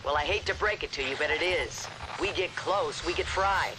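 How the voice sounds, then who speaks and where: Man acting high-voiced, brisk starship captain. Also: natural voice. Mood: brisk, blunt. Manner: clipped, businesslike radio address. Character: brisk, blunt male military starship captain. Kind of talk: video game cutscene acting. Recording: noisy ship transmission, muddy game mix.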